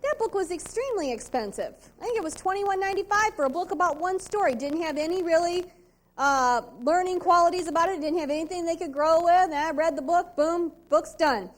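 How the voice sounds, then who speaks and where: A middle-aged woman, giving an upbeat, precise and animated sales training talk at a convention. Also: very high-pitched, brisk.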